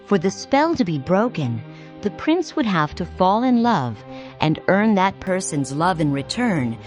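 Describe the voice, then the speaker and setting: A woman narrating a read-along storybook in a clear, measured, expressive way. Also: on the low side, faint music behind.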